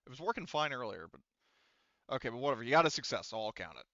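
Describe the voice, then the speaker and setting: A man running a tabletop roleplaying game, narrating casually in a halting, rambling, sweary way on a close mic. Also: medium pitch, very animated, fairly bright tone, no echo, highs cut off. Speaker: game master.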